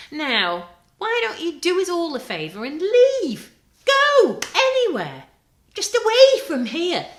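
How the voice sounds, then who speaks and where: A woman voicing a shrill, haughty little porcelain fairy ornament in a storybook reading. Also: a bit bright, heavily acted. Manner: haughty, scornful. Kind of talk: storybook read-aloud. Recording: roomy echo.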